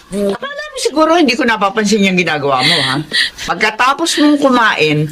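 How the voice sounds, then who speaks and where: An actress playing a worried, scolding middle-aged aunt in a radio drama, nagging in an exasperated way. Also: female voice, medium pitch, wildly swooping pitch, fairly quick, crowd noise.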